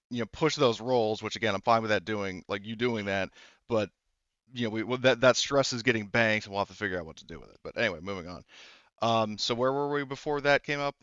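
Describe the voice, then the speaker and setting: A man's voice: medium pitch, fairly bright tone, smooth, dry recording, dull audio. A man talking casually as game master of a tabletop roleplaying game.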